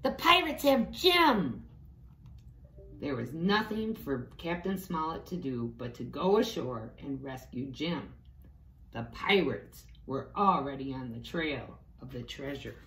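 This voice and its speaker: A woman's voice: rumbling low, lively intonation, unhurried. A woman reading a storybook aloud to young children, expressively, with little explanatory asides. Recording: echoey room with faint background noise.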